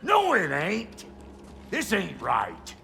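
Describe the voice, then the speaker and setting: Game casting: a foul-mouthed little dwarf smith, gravelly and sharp, irascible and blunt. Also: male voice, sits high, wildly swooping pitch, drawn-out words, faint music behind, heavily acted. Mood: suspicious, irritated. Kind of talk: video game cutscene acting.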